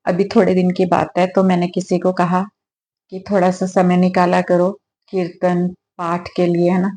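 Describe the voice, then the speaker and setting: A woman with a slightly hoarse throat, clearing it now and then, talking gently and affectionately in a casual devotional vlog at her home altar. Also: on the low side, monotone, moderate pace. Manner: gentle, affectionate, preachy at times. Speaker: devotional vlogger.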